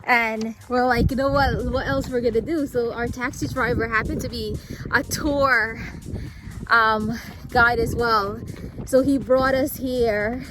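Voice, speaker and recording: A woman's voice: on the high side, fairly even intonation, measured pace, noisy background. A woman chatting casually through a travel vlog, rambling with frequent fillers. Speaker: travel vlogger.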